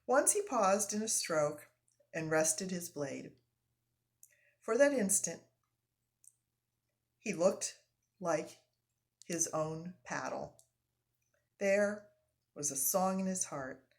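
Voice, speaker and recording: A woman reading a children's story aloud live, expressive and explanatory, with casual asides to listeners. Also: fairly low pitch, slow pace, long pauses.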